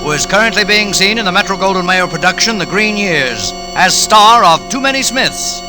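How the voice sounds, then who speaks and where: A man's voice: sits high, expressive, normal speed. A man formally introducing a 1940s radio drama. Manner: formal, announcing. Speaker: radio announcer. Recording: old 1940s radio recording with loud music.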